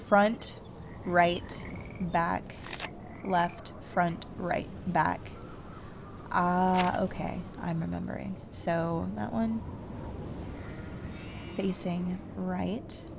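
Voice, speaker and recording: Woman, casual playthrough commentary, self-talking, frequent fry, leisurely pace, clear noise behind. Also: on the low side, steady tone, very slow, pauses often. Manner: thinking aloud, self-talking. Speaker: game streamer.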